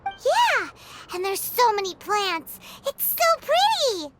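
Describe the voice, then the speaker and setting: An actress voicing a tiny, bubbly fairy-like companion who excitedly points things out in a game cutscene. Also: extremely high pitch, takes it slowly, slight background noise, heavily acted.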